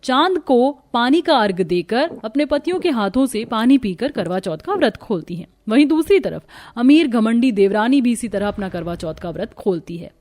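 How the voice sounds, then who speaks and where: A woman reads a scripted story as its narrator. Her voice sits a little high, and her delivery is that of dramatic cartoon storytelling. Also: lively intonation, moderate pace, hardly any pauses, darkish tone.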